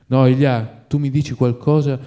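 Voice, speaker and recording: A man's voice: mid-range voice, little pitch movement, moderate pace, dark tone, lightly acted. A man voicing an agitated, insistent man who urgently pleads with his fallen friend in a literary story.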